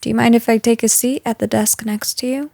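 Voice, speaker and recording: A woman playing a caring female boss in an intimate audio roleplay, speaking gently and earnestly with hesitant pauses. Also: fairly high pitch, steady tone, vocal fry, no echo, studio quality. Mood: curious, impressed.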